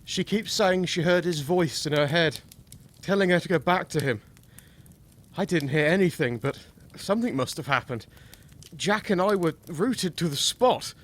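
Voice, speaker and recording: Man as higher-voiced, earnest young gothic gentleman. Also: lively intonation. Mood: troubled. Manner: measured, solemn storytelling. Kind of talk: audio drama narration and acting.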